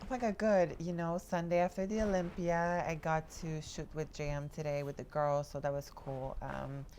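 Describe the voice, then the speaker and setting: Low-voiced young woman, slangy and excited. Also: moderate pace, a little hiss or hum. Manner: slangy, enthusiastic, many "like"s. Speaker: podcast co-host. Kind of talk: casual chat.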